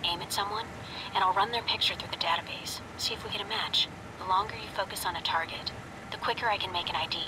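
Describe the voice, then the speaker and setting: An actress playing a serious, businesslike intelligence handler in a video game, speaking in a precise briefing tone. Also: female voice, medium pitch, steady tone, fast talker, noisy background, natural voice. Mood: focused. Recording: loud music behind the voice.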